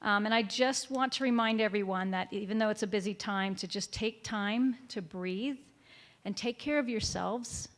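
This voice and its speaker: A woman's voice: medium pitch, moderate pace. A woman chairing a school board meeting who gives friendly, chatty remarks with fillers.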